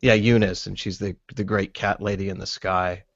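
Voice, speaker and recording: A man's voice: deep voice, little pitch movement, normal speed, gravelly, no room sound. A man chatting casually in a group online hangout, recorded through lo-fi call audio. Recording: dull lo-fi internet call audio.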